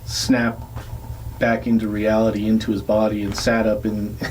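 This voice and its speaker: Low-voiced man telling a rambling story. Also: monotone, normal speed, darkish tone, slight background noise, poor audio. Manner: rambling storytelling with many fillers. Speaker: podcast co-host. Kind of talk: casual chat.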